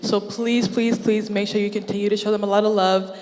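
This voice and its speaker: A woman's voice: mid-range voice, flat delivery, fairly quick, big-room sound. A woman from Zimbabwe emceeing a student show, upbeat and teasing as she hypes the crowd. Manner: warm-up announcer, teasing, upbeat. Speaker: event emcee.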